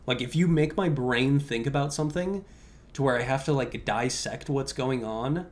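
A man's voice: medium pitch, moderate pace. A young man hosting a podcast, talking casually and informally in a rambling way, with "like" sprinkled all through his sentences. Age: young adult. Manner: informal, conversational, heavy use of "like". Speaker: podcast host. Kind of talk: casual rambling podcast talk, answering listener questions.

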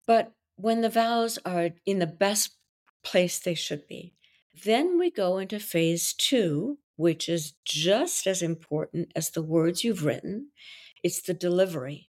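A woman's voice: lowish voice, takes it slowly. A woman from Belgium whose first language is French, a wedding coach and officiant explaining her work in a confident, theatrical podcast interview. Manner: expressive, theatrical delivery.

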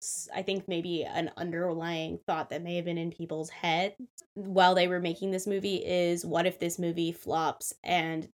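Young woman, lowish voice, measured and hedging critic in casual chat. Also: little pitch movement. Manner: thoughtful, hedging, many "like" fillers.

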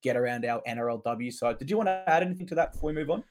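Podcast co-host, man, hoarse recovering voice, keen and stat-driven, casual chat. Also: medium pitch, expressive, very fast. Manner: enthusiastic, stat-heavy delivery.

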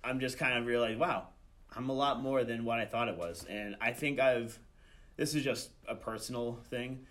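Halting young man chatting in an interview. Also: mid-range voice, fairly bright tone. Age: young adult. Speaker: student director and voice actor, interview guest. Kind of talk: casual interview talk.

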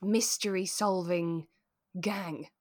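A British woman co-hosting a podcast in casual chat, with a playful, expressive way of retelling things. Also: on the low side, slow pace. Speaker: podcast co-host. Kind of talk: casual chat discussing a radio drama.

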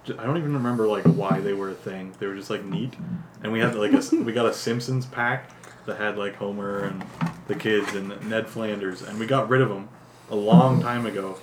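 A man in his twenties with a fairly low voice chats casually in a group. He is conversational and teasing. The space sounds echoey, with some noise in the background. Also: fairly quick. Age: 20s.

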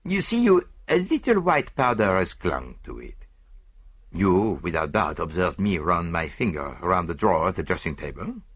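A man's voice: low voice, very animated, moderate pace, fairly bright tone, breathy edge, heavily acted. A man voicing a vain, fussy middle-aged Belgian detective in an audiobook, with a French-speaking Belgian accent and precise, theatrical delivery. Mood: proud, fussy. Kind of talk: audiobook character dialogue.

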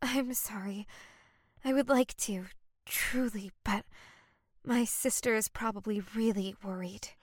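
An actress playing a shy young woman, secretly a mermaid, in an audio drama, speaking in a hushed, hesitant way. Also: fairly high pitch, steady tone, measured pace, no echo, studio quality. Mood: apologetic. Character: shy, guilty, soft-spoken mermaid passing as human.

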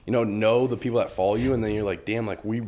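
Young male podcast guest, low-pitched, easygoing and slangy in casual chat. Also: steady tone, fast talker, warm tone, slight background noise. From Brazil. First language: Portuguese. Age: young adult. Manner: laid-back slangy talk, says "bro" a lot.

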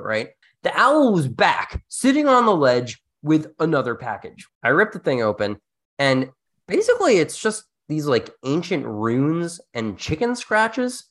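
A podcast host presenting scripted material in an animated, conversational way. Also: mid-range voice, unhurried.

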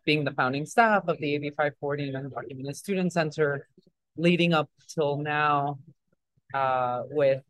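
A man's voice: fairly high pitch, moderate pace, fairly bright tone, no echo, poor audio. Rambling young man, dry sound. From Mexico. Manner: rambling, many fillers and restarts. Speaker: interview guest. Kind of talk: casual interview talk.

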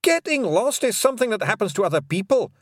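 A man's voice: sits high, very animated, fast talker, dry recording, clean studio sound, voice pushed up. A man voicing a small, fierce, boastful Pictsie warrior chief with a Scottish accent. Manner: blustering, emphatic.

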